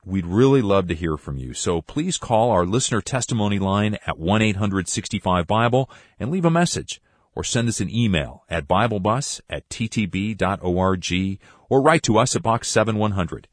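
A man hosting a radio Bible program, reading listener letters in a friendly, measured announcer manner. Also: sits low, animated, dry recording, studio quality. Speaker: radio ministry host.

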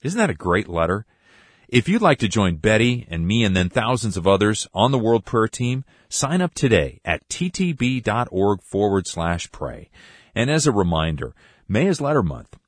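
A man hosting a radio Bible program, reading listener letters in a friendly, measured announcer manner. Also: lowish voice, sing-song, no echo, clean studio sound. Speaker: radio ministry host.

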